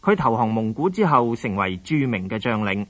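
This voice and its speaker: A man's voice: lowish voice, very animated, moderate pace. A man with a Hong Kong Cantonese accent reading scripted historical narration as a radio narrator.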